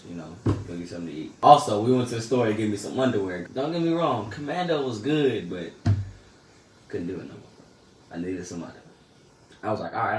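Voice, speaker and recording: A teenage boy casually telling a story about his day in slangy, laid-back talk that often trails off soft and quiet. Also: lowish voice, far from the mic. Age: teens. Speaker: vlogger. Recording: echoey room, a bit off-mic, faint noise.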